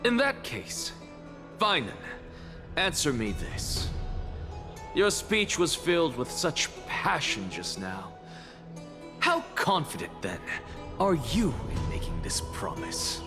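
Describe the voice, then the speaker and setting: Game role: haughty heretic professor, measured, grandly theatrical delivery with big swings, music underneath. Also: male voice, a little high, very animated. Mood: scornful, mocking. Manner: haughty, theatrical, scornful declamation. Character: arrogant male scholar, theatrical heretic professor lecturing an assembly. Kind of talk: dramatic video game voice acting.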